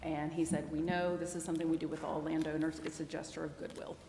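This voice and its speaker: A woman legal adviser explaining a matter to a public meeting in a measured way. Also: deep voice, level tone, warm tone, soft background music. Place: council chamber. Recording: very echoey hall, faint noise.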